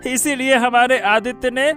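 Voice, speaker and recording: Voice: sits high, steady tone, moderate pace, pushed and bright, faint music behind. An actor voicing a mocking, scheming royal courtier in a cartoon story, sneering and theatrical. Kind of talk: Hindi cartoon story voice acting.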